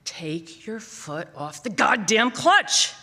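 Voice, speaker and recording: Woman voicing a gruff dad in an echoing hall. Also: sing-song, slow, heavily acted. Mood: exasperated, thunderous. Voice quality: loud, deep dad voice. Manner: sharp, staccato, urging. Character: gruff, thunderous dad coaching his daughter to drive. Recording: echoing hall of a live reading.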